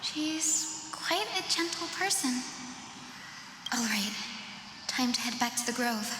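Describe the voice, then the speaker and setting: Casting: gentle young healer for fantasy game, tender and caring, higher register, slight room sound. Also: female voice, expressive, slow, noisy background. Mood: warm, respectful. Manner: soft, tender, reassuring. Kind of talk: video game story voice acting. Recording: slightly roomy, music underneath.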